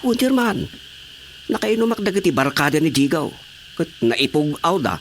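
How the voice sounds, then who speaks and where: An eager village watchman, fairly high and very animated, with an Ilocano accent. Faint noise and night insects are behind him. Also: normal speed. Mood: reporting. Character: male village watchman eagerly reporting to his chairman. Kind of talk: Ilocano radio drama comedy acting.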